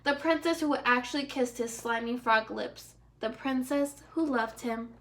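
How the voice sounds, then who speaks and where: A woman teacher reading aloud to young children, expressive and speaking to her pupils directly. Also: a little high, measured pace, slight background noise. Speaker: first grade teacher.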